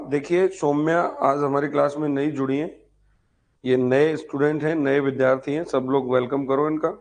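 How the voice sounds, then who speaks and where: A man teaching an online class, repeating points, quizzing his students and chatting with them. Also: a little high. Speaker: teacher of an online history exam-prep class. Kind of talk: live lecture with chatty asides to students.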